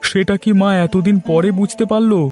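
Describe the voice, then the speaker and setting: A man's voice: sits high, fairly even intonation, a little fast, darkish tone, soft background music, natural voice. A man voicing a scornful, indignant younger brother in a cartoon. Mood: curious, gossiping. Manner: indignant, scornful, rhetorical questioning. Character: scornful, aggrieved younger brother glad to evict his kin. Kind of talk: cartoon dialogue acting.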